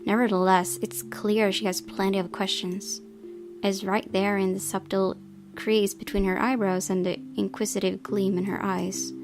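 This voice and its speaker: A woman reading a visual novel aloud as its player, in expressive storytelling narration. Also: medium pitch, normal speed, over music.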